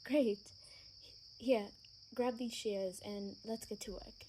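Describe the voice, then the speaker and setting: A woman acting a gentle, sheltered young vampire daughter in an ASMR roleplay, speaking in a soft, earnest, hesitant way. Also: fairly high pitch, fairly even intonation, takes it slowly. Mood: soft, friendly. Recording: faint background noise with chirping crickets.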